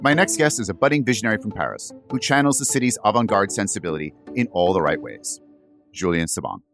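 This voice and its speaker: A man's voice: lowish voice, lively intonation, fast talker, over music. A man hosting a podcast, moving between interviews and scripted narration in a polished, wryly phrased way. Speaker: podcast host.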